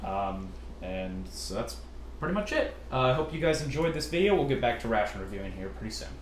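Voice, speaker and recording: Easygoing deep-voiced man in a roomy echo. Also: big pitch swings, fairly quick. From California, USA. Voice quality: generally deep voice. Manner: hesitant, many uh and um fillers, easygoing. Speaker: channel host. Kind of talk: casual Q&A chat. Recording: roomy echo, faint noise.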